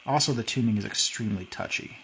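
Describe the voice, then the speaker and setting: A man hosting a hands-on tutorial, thinking aloud in a relaxed way while working at a workbench. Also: fairly low pitch, quick pace, a little hiss or hum, rough recording. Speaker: video host.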